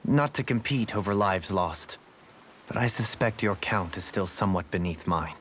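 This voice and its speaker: Deep, refined, pensive nobleman. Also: animated, normal speed, a bit bright, a little hiss or hum. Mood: sympathetic. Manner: eloquent, formal, pensive, articulate. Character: refined, thoughtful nobleman turned rebel ally. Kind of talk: video game English dub acting. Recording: game music playing behind. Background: background music.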